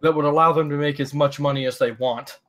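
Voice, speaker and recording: A man's voice: on the high side, steady tone, brisk, fairly bright tone, faint music behind. A man ranting bluntly in casual stream chat, swearing freely as he makes his points.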